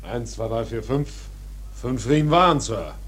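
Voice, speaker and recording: A cheeky fearless whaler officer, kinda husky, radio play. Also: male voice, mid-range voice, lively intonation, a little slow, a bit bright. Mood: dutiful. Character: fearless, mocking male whaling ship's officer. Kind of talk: radio drama acting.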